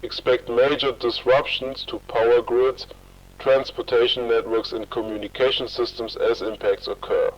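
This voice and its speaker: A man playing a solemn emergency broadcast announcer, reading a formal bulletin in a measured, impersonal, official way. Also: medium pitch, natural voice. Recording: muffled, distant emergency broadcast sound.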